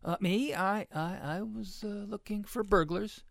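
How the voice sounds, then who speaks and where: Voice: mid-range voice, very animated, normal speed, slightly rough, dry recording, studio quality, voice pushed up. A storybook reader voicing a plucky, indignant little rodent girl detective with a snappy, spirited delivery. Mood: flustered.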